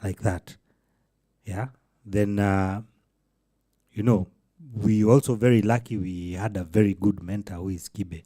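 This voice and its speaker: A man's voice: on the low side, steady tone, measured pace, long pauses, mellow, no room sound, studio quality. A man co-hosting a casual podcast, talking reflectively and mixing in Swahili phrases. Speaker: podcast co-host.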